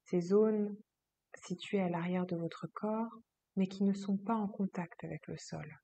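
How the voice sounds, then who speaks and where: A woman yoga teacher leading a guided relaxation meditation, speaking slowly and calmly in a soft, husky voice with long pauses. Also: medium pitch, a little hiss or hum. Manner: slow, calm, soothing instruction with long pauses.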